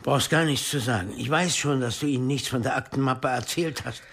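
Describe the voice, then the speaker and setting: A man acting in a radio drama as a blunt, weary friend, husky and rough in voice, with curt colloquial speech. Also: medium pitch, fairly quick, fairly bright tone. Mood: exasperated, scolding. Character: gruff, blunt male friend, weary, scolding but caring.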